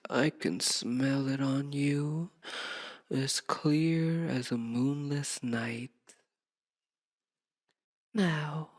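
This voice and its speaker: A seductive, sadistic vampire mistress, played by a woman in an intimate ASMR roleplay. She speaks very slowly in a slightly low voice. Her delivery is possessive and commanding, a purr that often slips toward a whisper. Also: exaggerated voice. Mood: menacing, pleased. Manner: slow, purring, domineering, often dropping into whispers.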